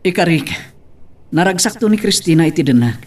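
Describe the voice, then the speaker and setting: A man acts an earnest young Ilocano soldier and suitor in a radio-style drama. He is respectful and devoted, speaking politely with some hesitation in a slightly high male voice. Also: lively intonation, brisk. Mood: respectful, glad.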